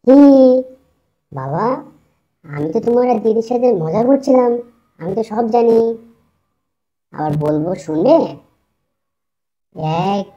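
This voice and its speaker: A woman's voice: medium pitch, mellow, muffled recording. A woman voicing a cheeky, boastful young village boy in a children's puppet story. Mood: confident. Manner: boastful, playful.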